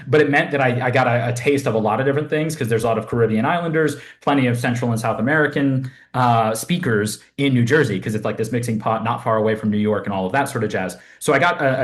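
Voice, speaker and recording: A man's voice: mid-range voice, fairly quick. A young man from Southern California, a fitness coach chatting casually as a podcast guest, rambling with lots of fillers. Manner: rambling, self-deprecating, many fillers. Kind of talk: casual interview chat.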